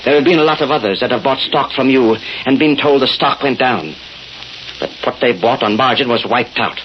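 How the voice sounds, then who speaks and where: A man's voice: mid-range voice, a little fast, natural voice. A wily, solemn fake spiritualist acted by a man in a 1930s radio play, insistent and theatrical. The recording is dull and hissy. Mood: defiant. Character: crooked male séance medium, theatrically solemn, wily. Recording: muffled noisy old radio recording.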